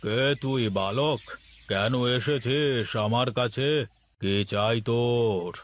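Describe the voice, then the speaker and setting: Guy doing a stern cartoon guru, real slow n solemn, bossy, noisy background. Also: mid-range voice, lightly acted. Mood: stern, questioning. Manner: solemn, commanding, slow, chanting mantras at times. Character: stern holy man, a guru laying down rules. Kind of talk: cartoon voice acting.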